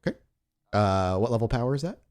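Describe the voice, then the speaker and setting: A man's voice: mid-range voice, expressive, quick pace, darkish tone. A man narrating a tabletop roleplaying game as the game master. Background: music.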